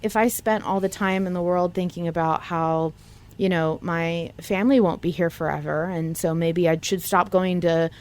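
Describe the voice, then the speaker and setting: A woman's voice: on the low side, fairly even intonation, normal speed. A woman hosting a podcast in casual discussion, speaking in an earnest, reflective way. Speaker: podcast host.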